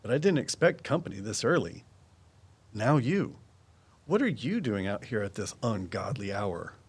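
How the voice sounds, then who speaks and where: An actor playing a guarded, knowledgeable woodsman in an audio drama, explaining things in a measured, folksy way. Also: fairly low pitch, expressive, slightly rough. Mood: matter-of-fact. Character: Walt, guarded, knowledgeable woodsman and conservation volunteer. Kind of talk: full-cast audio drama dialogue.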